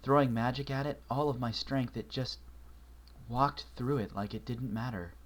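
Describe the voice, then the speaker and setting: A man reading a story aloud, voicing an anxious, bookish young pony mare who talks earnestly and often in a hushed voice. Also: medium pitch, normal speed, pitched above the performer's own voice, natural voice. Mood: uneasy.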